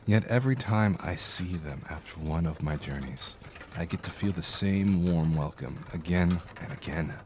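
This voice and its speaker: A man plays a courteous, philosophical cart driver in a fantasy audio drama. His voice sits very low, and he speaks with formal, deferential care. A faint noise runs behind him. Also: normal speed, darkish tone. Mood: warm, wistful.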